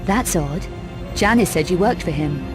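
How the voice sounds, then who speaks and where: A woman's voice: lowish voice, animated, normal speed, over music, close to an everyday voice. A woman playing a tough, self-assured adventurer in a video game, with a terse, dry, unflappable delivery. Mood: firm. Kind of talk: video game cutscene acting.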